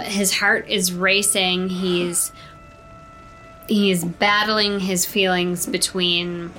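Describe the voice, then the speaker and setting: A woman's voice: lowish voice, sharp tone, rough recording. A woman playing a tabletop game, describing her character in improvised narration.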